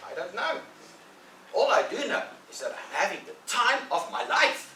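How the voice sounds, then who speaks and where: Man as a sly boy: bright, playful bragging, off-mic in a reverberant room. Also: extremely high pitch, big pitch swings, moderate pace, creaky, slight background noise, pitched above the performer's own voice, full character voice. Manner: coy, boastful, sly. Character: sly boy tricking a friend into work. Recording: echoey room, mic at a distance.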